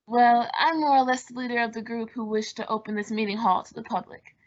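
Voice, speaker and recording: A woman's voice: a little high, fairly even intonation, fairly quick, natural voice. A woman playing a polite, uneasy village leader in an old-time style radio mystery. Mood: polite concern. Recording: dull lo-fi radio play recording.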